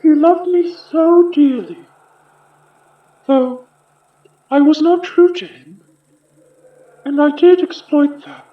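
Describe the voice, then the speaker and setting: An actor playing a polished, sinister sorcerer spirit from the past in an audio horror drama, measured and theatrical. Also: high voice. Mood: wistful, haughty. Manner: slow, measured, theatrical, politely menacing. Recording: muffled, echoey room.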